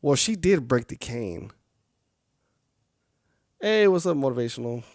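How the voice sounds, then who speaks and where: A man's voice: medium pitch, expressive, unhurried, a little mellow, no echo, clean studio sound. A man reading a game's story aloud on a live stream, with casual, chatty asides to viewers. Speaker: game streamer.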